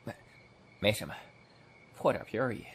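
A man's voice: lowish voice, animated, normal speed, a little hiss or hum, close to an everyday voice. An actor playing a lovesick, persistent and sheepish young businessman in an amateur audio drama. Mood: coaxing.

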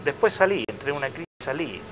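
A man in his fifties, a parish priest, talking in a relaxed interview with hesitations and restarts. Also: fairly high pitch, background noise. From Chile. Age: 50s. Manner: unhurried, reflective, with many hesitations and restarts. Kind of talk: casual interview conversation. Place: outdoor restaurant patio. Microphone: lapel mic.

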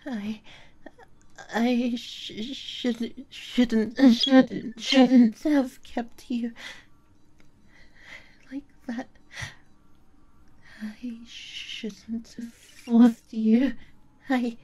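A high, soft-toned actress playing a tearful, lonely woman in an ASMR audio drama. She is devoted and remorseful, stammering and breaking off. Her delivery is extremely slow and breathy, often sinking toward a whisper. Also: fairly even intonation. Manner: halting, stammering, breaking up mid-sentence. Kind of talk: ASMR audio roleplay acting.

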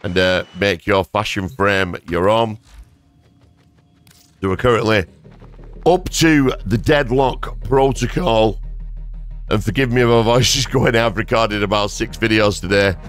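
A hoarse man giving casual, rambling gameplay commentary as a gaming YouTuber, thanking his viewers. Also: fairly low pitch, a little slow, long pauses, a bit bright, soft background music.

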